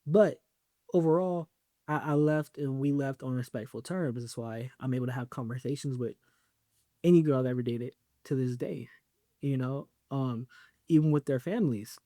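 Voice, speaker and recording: A young man riffs through his podcast in a loose, joking way, full of slang and fillers. His voice sits at an ordinary middle pitch. The mic is close and the room sounds dry, with no echo at all. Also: moderate pace, dark tone. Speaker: podcast host. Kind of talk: casual solo podcast talk.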